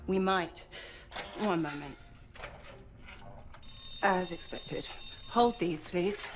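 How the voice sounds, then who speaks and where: A woman's voice: lowish voice, very slow, noisy background. A woman playing a poised, self-assured researcher and mission support specialist in a video game cinematic, composed and articulate.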